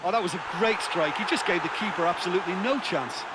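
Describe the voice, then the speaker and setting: A man gives co-commentary for a football video game. His voice is high and his remarks are plain, approving appraisals. A massive crowd is loud and noisy behind him. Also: fast. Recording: commentary under very loud stadium crowd noise. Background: loud football stadium crowd.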